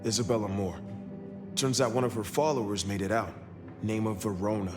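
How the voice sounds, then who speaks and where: A man's voice: on the low side, steady tone, fairly quick, music in the background, natural voice. An actor playing an easygoing, friendly male street vampire in a video game, casual and plainspoken. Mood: informative.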